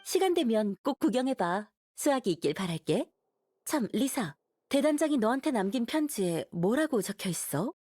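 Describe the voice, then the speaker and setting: A woman's voice: a little high, big pitch swings, moderate pace, darkish tone. A woman playing an earnest, dutiful young knight leader in an anime game, composed and formal as she explains. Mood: kindly earnest. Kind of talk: Korean anime game voice acting.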